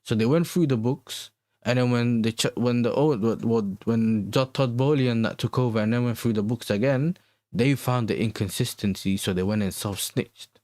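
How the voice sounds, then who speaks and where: A man's voice: mid-range voice, moderate pace, a little mellow, dry recording. A man from the Netherlands with a London accent, chatting casually as a football livestream host in slangy, conversational, repetitive phrasing. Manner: slangy, conversational, repeats himself. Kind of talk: casual livestream chat answering viewers.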